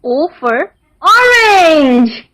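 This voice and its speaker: A woman narrating a children's alphabet lesson in a deliberate sing-song manner, enunciating each word. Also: extremely high pitch, very animated, background noise.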